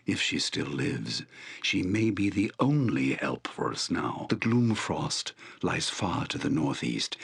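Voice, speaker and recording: Weary exiled shaman for a fantasy game, super deep n husky, grave and slow-talking. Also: fairly bright tone, exaggerated voice. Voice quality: gravelly, husky, breathy at phrase ends. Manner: grave, deliberate, formal archaic phrasing. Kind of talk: dramatic fantasy game voice acting.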